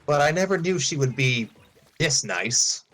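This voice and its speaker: A man's voice: fairly high pitch, animated, unhurried, noisy background, voice pushed up. Man playing a flustered awkward boy trainer. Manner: flustered, stumbling restarts. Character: young male trainer, earnest and awkward.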